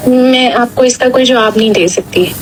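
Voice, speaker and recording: A woman's voice: a little high, moderate pace, a little hiss or hum. A young woman speaking guardedly and briefly on a phone call. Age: young adult. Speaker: prank call recipient.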